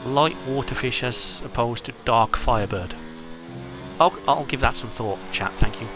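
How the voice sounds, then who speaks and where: A man's voice: mid-range voice, moderate pace, music in the background. A man giving live, chatty commentary on an online racing league, joking about the drivers and reading out chat messages. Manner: chatty, wry, reads chat aloud.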